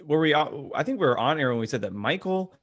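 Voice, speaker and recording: A man's voice: a little high, expressive, fast talker, highs cut off. A man co-hosting a podcast in casual comedic banter, teasing and sarcastic. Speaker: podcast co-host. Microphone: remote video call.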